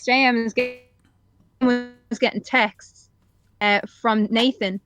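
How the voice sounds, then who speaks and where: A woman's voice: a little high, steady tone, takes it slowly, a bit bright. A woman joining a live stream by call, chatting casually in a gossipy, mocking way. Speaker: guest on call. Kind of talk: casual chat.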